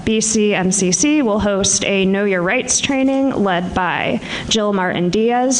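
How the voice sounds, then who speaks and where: Woman clearly announcing upcoming events. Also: medium pitch, low-quality recording. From Vermont, USA. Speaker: community center representative. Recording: local-access meeting recording with faint noise.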